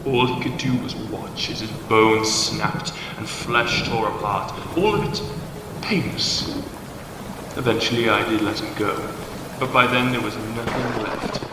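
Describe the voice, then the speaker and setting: A man's voice: fairly low pitch, steady tone, lightly acted. Echoing, gloating devil acted by a man. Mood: gloating menace. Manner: slow, theatrical, menacing. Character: malevolent male devil bound to a bridge, gloating and cruel. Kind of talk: fan audio drama monologue. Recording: cavernous echo, long reverb, background noise.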